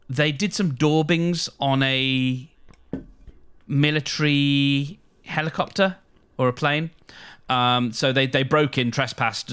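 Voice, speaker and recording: A British man co-hosting a podcast, explaining things casually in a halting way, often hedging and correcting himself. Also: medium pitch, a bit bright, clear, resonant tone. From United Kingdom. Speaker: podcast co-host.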